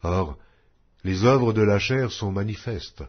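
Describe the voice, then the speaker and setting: A man reading scripture aloud in a slow, solemn, measured way. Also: fairly low pitch, highs cut off. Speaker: Bible reader.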